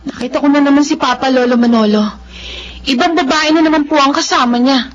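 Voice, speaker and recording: Babaeng gumaganap bilang masama ang loob na apo, napakataas ng boses. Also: female voice, high-pitched, brisk, natural voice. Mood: upset. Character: aggrieved granddaughter ashamed of her womanizing father. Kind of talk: Filipino radio drama acting. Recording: dull lo-fi audio, noisy hum.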